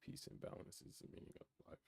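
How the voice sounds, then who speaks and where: A man's voice: quick pace, a little mellow. A nineteen-year-old man chatting casually on a live stream, reading out questions from chat and answering them in a laid-back, reflective way. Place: his room in college housing. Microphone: computer mic.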